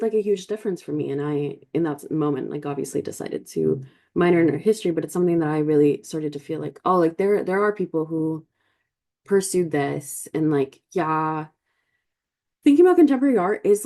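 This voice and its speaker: A woman's voice: low-pitched, mellow. A woman in her twenties from Colombia hosting a podcast, telling personal stories in a casual, reflective way and peppering her talk with "like". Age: 20s. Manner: informal, peppered with "like" as a verbal habit. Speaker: podcast host.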